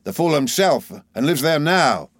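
A man's voice: medium pitch, normal speed, no echo, clean studio sound, close to an everyday voice. A man voicing a scheming, falsely humble villainous clerk in an audiobook, with a disdainful, snarling manner. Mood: disdainful, threatening.

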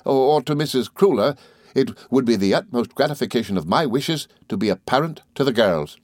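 A man acts out an earnest young gentleman of the law from a classic novel. He confides cheerfully and modestly, with polite hesitations and little stammers. The medium-pitched voice sits in a dry, roomless recording. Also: animated, fairly quick, no echo, natural voice. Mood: earnest, rambling. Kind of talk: audiobook character dialogue.

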